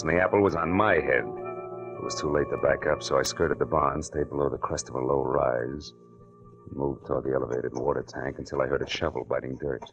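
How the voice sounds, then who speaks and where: An actor playing a tough, wry private detective in an old-time radio drama, terse and sardonic. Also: male voice, a bass voice, a bit bright, dull audio.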